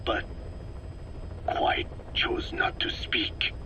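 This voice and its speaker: An actor playing a solemn, grave old man in a video game, speaking haltingly in a whispery, gravelly voice. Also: background noise. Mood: grave, hushed. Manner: slow, deliberate, halting delivery. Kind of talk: dramatic game voice acting.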